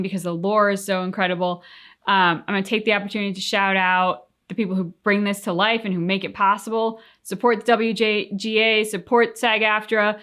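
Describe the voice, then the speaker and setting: Female reaction co-host, mid-range voice, casual and expressive conversational delivery. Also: medium pitch.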